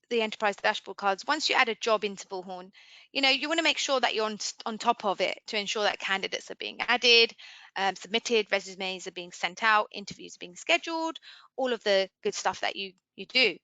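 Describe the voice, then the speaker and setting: A woman giving an informal software demo as a webinar trainer, explaining conversationally and putting questions to listeners. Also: a little high, lively intonation, normal speed, no echo, rough recording. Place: indoors, screen-share webinar voice-over.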